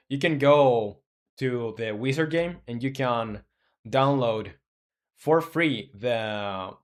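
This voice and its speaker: A man in his twenties chatting casually on a live stream as a game developer, rambling and thinking aloud with many fillers while answering chat questions. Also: medium pitch. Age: 20s.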